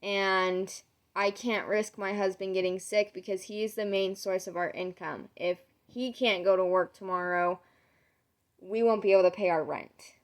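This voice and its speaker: A young woman vlogger with a hoarse, nearly lost voice, casually telling a long story to camera with lots of "um"s. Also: medium pitch, fairly even intonation, normal speed. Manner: rambling, many "um"s, retells conversations. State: losing her voice, sore throat.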